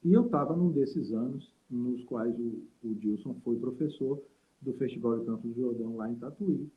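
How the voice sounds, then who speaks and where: A man's voice: medium pitch, moderate pace. A man from Salvador, a guest saxophonist and teacher telling stories in a rambling, reflective way with many fillers over a video call. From Salvador, Brazil. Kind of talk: casual interview storytelling. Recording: tinny call audio.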